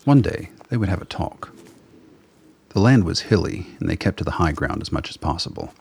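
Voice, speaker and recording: A man's voice: deep voice, animated, normal speed, vocal fry, darkish tone, slightly rough. A man narrating a scripted story for a radio play.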